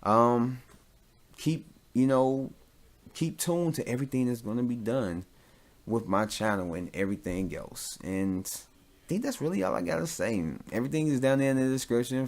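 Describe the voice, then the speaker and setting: A man's voice: fairly low pitch, moderate pace, darkish tone. A man hosting a podcast alone, talking casually off the cuff, rambling and repeating his points for emphasis. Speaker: solo podcast host.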